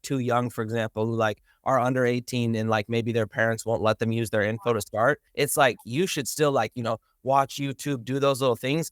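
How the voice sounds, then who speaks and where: A man's voice: medium pitch, no echo, clean studio sound. A man hosting a casual interview, upbeat and slangy, often cheering his guest on. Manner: upbeat, slangy ("hell yeah, that's sick"). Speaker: host, mentor.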